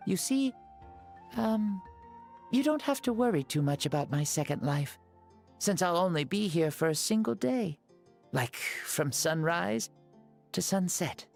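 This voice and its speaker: A performer voicing a calm, breezy ancient soul in a boy's body for a video game, speaking casually and reassuringly. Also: medium pitch, a little slow, gravelly, pauses often, soft background music. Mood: gentle, matter-of-fact.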